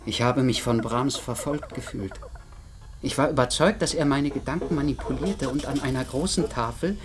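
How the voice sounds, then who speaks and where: On a clearly noisy recording, an actor plays a fussy male investigator from some authority. His mid-pitched voice is formal and officious, with an uneasy, haunted edge, in radio play style. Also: normal speed, natural voice. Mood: confiding, uneasy. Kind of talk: German radio play acting.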